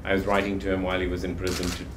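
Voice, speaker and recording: Producer at a press conference, fairly low voice, echoey room with audible noise. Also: male voice, completely flat delivery, rapid-fire. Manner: earnest, with restarts. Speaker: film producer. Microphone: handheld mic.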